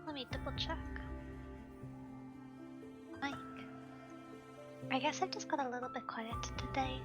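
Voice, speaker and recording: Soft-spoken woman streamer, slightly hoarse. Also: high voice, unhurried. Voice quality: softly spoken. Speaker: game streamer. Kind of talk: casual live-stream chat while gaming. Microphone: desk microphone at home. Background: faint background music.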